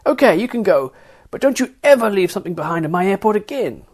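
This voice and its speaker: A man voicing a stocky, gruff female airport security officer who sneers and shouts as she interrogates. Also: sits high, lively intonation, quick pace, pitched above the performer's own voice. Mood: aggressive taunting.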